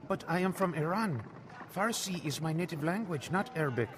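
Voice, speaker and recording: A man's voice: a little high, lively intonation, normal speed, big-room sound, background noise, natural voice. An actor playing an earnest, defiant Iranian man in a radio drama, at times narrating his own story. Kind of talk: radio drama acting and first-person narration.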